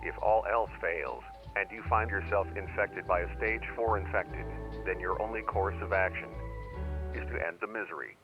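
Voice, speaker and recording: Analog horror emergency announcer, played by a man: grave, measured, formal, lo-fi tape sound with clear music bed. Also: low-pitched. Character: grave male narrator of an emergency survival tape. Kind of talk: scripted instructional narration, analog horror. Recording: muffled old tape, music underneath.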